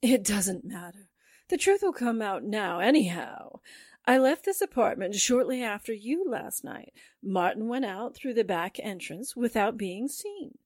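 A woman playing a scheming former film star widow in a 1940s-style radio drama, theatrical and halting in delivery. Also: mid-range voice, lively intonation, darkish tone, no room sound, clean studio sound. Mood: resigned confession.

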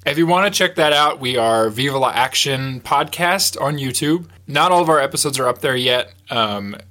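Bright-voiced, sniffly podcast host. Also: male voice, medium pitch, lively intonation, moderate pace. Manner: laughs often, rambling with fillers. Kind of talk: casual podcast chat. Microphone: headset mic.

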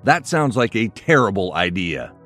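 Man playing a very animated, concerned best friend. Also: fairly low pitch, moderate pace, soft background music. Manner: questioning, animated. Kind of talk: audio drama acting.

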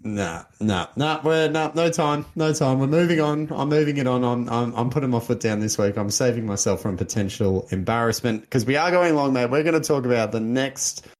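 A hoarse man with an Australian accent co-hosting a casual football podcast and pushing the talk along. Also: medium pitch. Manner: chatty, quick to move things along. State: hoarse from yelling. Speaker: podcast co-host. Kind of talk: casual sports chat.

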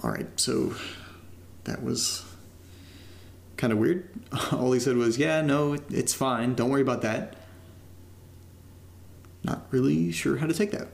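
Casting: uneasy young guy in found-footage horror audio drama, creaky mid-pitched voice, muttering and self-deprecating. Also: lively intonation, unhurried, long pauses. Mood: uneasy, curious. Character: uneasy young man recording strange noises in his new house.

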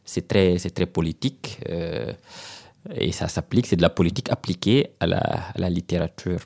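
Deep gravelly-voiced man from Senegal. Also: a little mellow. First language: Serer. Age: 30s. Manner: measured, hesitant with many fillers and restarts. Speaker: guest author. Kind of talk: thoughtful interview answers.

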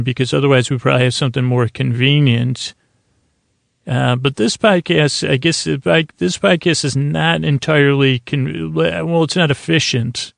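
Guy doing a rambly bedtime podcast, lots of vocal fry, dry sound, keeps losing his thread and starting over. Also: mid-range voice, dry recording. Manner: meandering, halting, trailing off mid-thought. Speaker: podcast host. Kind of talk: rambling bedtime monologue to help listeners sleep.

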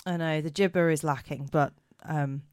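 A woman with a hoarse voice co-hosting a podcast, chatting casually with many fillers. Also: low voice, steady tone, moderate pace, dry recording, studio quality. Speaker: podcast co-host. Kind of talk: casual chat.

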